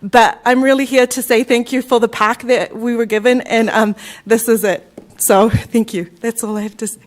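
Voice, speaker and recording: Woman, new zealand accent, public comment, halting, fillers, slightly high voice. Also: steady tone. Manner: hesitant, many fillers and restarts. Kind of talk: public comment at a city council meeting.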